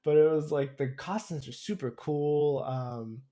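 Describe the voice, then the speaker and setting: A man's voice: on the high side, measured pace, mellow. Rambling young man, casual chat. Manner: rambling, hesitant, hedging. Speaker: podcast co-host.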